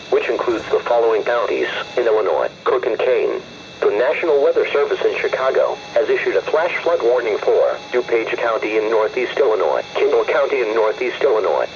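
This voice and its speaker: Synthetic male weather radio announcer, dull lo-fi sound. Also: medium pitch. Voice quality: synthetic text-to-speech voice. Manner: impersonal, formal bulletin delivery. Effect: weather radio broadcast. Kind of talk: scripted weather alert reading. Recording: dull lo-fi radio with faint noise.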